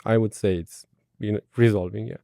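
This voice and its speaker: Low, mellow man with a Romanian accent. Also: fairly even intonation, gravelly, no echo, clean studio sound. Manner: brief, measured technical answers. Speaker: guest. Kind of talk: product interview answers.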